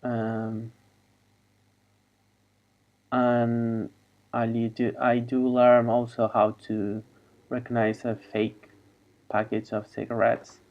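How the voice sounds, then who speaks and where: A man whose first language is Spanish, talking in a halting, wandering monologue over a video call. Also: medium pitch, muffled recording. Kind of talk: rambling monologue.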